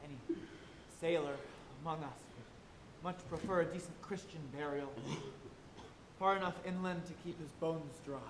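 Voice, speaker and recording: Man acting kindly pious sailor, earnest, formal phrasing, theatre drama, echoey stage, noticeable background noise and rumble. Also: moderate pace, close to an everyday voice. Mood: apologetic, consoling. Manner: gentle, earnest, formal old-fashioned phrasing. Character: kindly, devout sailor, tender friend to a dying shipmate. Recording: noisy, echoey live auditorium recording.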